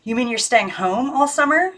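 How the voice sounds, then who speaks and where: Lady reading as curious schoolgirl, eager n full of questions, pitched up. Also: expressive, moderate pace, a bit bright. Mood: curious, surprised. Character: Barbara, inquisitive schoolgirl saying goodbye.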